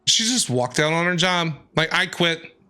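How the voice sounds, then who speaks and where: A man streaming a game, reacting with quick jokes and animated quips. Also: a little high, clean tone. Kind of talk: reaction commentary over a game.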